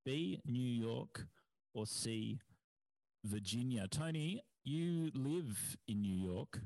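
Man, quiz host, medium pitch, playful casual chat. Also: expressive, vocal fry, darkish tone, rough recording. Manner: playful, quick to laugh.

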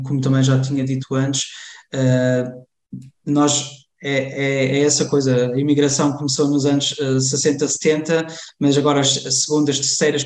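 A young man from Germany, a guest in a casual conversation, speaking hesitantly with many fillers and restarts. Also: mid-range voice. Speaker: interview guest. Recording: roomy echo.

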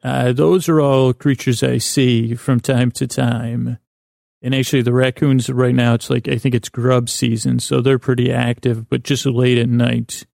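A middle-aged man delivers a rambling sleep podcast monologue in a creaky, gravelly voice. His pitch is fairly average and moves within a narrow span. He hesitates, restarts and drifts off mid-thought, and the sound is close and dry. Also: level tone, unhurried, a little mellow, dry recording. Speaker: podcast host.

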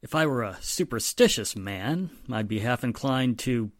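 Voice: medium pitch, animated, moderate pace, dry recording, natural voice. An actor playing a weary, sardonic male police inspector in a 1940s-style radio drama, speaking emphatically with dry exasperation. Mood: dry, firm.

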